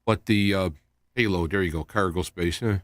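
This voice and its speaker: Male talk radio host, low voice, dry close sound, halting and loosely improvised delivery. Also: dry recording. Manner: halting, loosely wandering from point to point. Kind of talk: rambling live talk show monologue.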